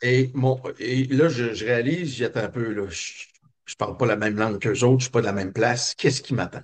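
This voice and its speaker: Un homme québécois, invité d'une entrevue, qui raconte son enfance d'un ton réfléchi, avec beaucoup d'hésitations. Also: male voice, mid-range voice, brisk. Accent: Québécois French. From Quebec. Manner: reflective, many hesitations and fillers. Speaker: guest. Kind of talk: personal storytelling interview.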